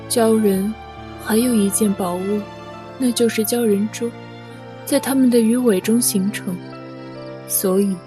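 A woman playing a gentle, sorrowful storyteller telling a legend softly in a Chinese costume radio drama. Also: on the high side, lightly acted. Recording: distant voice, music behind.

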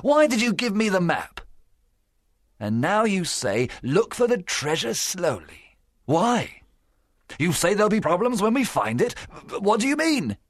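Wily pirate leader, acted by a male narrator: loud, wheedling, rough-edged, very animated audiobook delivery. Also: high-pitched, measured pace, dry recording, studio quality, voice pushed up, full character voice. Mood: agitated questioning. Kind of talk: audiobook character acting.

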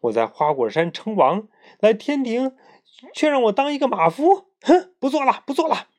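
Sun Wukong, a cocky, hot-headed monkey king, as a male storyteller puts him on, with his voice jumping high and loud and swinging wildly between boasts and shouts. He is brash and quick to anger. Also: big pitch swings, heavily acted. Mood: furious.

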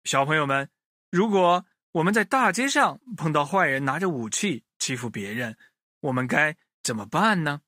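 Animated man telling children a story. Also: fairly high pitch, moderate pace, no echo, clean studio sound. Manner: expressive, addresses young listeners directly.

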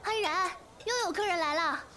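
A woman playing a chatty young shop worker in a radio drama, lively and inclined to grumble. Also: very high-pitched, moderate pace, a little hiss or hum. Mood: busy, weary.

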